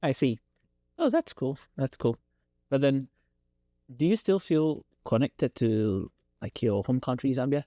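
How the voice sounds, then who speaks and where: Male host, medium pitch, warm tone, dry studio sound, asks questions hesitantly. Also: animated, a little slow, dry recording. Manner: brief acknowledgements, searching for words in questions. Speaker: podcast host. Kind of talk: interviewing. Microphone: studio podcast mic.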